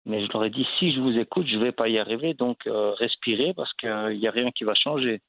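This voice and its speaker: Gravelly man on a tinny phone line. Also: medium pitch, fairly quick, dry recording. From Belgium. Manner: hesitant, many fillers and restarts. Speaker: actor, guest. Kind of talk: casual interview.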